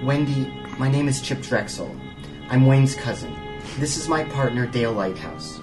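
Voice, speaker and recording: A man playing a confident federal investigator of the paranormal, with a medium-pitched voice. He is formal and assertive in an audio drama, with music underneath. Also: moderate pace, lightly acted. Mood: reassuring. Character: self-assured, combative federal paranormal investigator, adult man.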